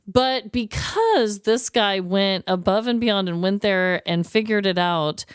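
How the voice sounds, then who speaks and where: A woman co-hosting a podcast with casual, expressive banter in an informal, exclaiming way. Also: mid-range voice, slow, smooth, no echo, studio quality. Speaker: podcast co-host.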